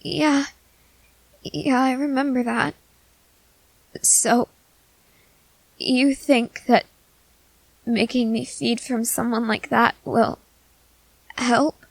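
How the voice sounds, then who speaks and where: A woman voicing a gentle, nervous, newly turned young female vampire who speaks haltingly in an ASMR roleplay. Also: a little high, little pitch movement, creaky, no room sound, pitched above the performer's own voice, heavily acted, poor audio. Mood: uncertain. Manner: hesitant, halting, soft-hearted. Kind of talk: scripted ASMR audio roleplay.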